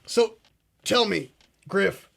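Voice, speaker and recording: A man's voice: high voice, very slow, gravelly, slightly rough, exaggerated voice. An actor playing a pompous, paranoid male conspiracy talk show host who speaks in a grandiose, ominous way. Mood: grave. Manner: grandiose, ominous, addresses "ladies and gentlemen". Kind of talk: comedic mock talk show.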